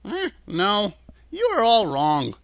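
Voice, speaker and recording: A little old storybook fraud wizard, shaky high voice, stammers, meek and fussy. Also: male voice, huge pitch swings, measured pace, bright, forceful tone, dry recording, clean studio sound, exaggerated voice. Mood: meek, nervous. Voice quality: trembling.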